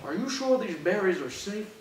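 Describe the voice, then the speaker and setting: A man's voice: high-pitched, animated, moderate pace, distant mic, slight background noise. A man performing in a staged comedy reading, moving between casual banter and script lines. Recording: echoey room, off mic.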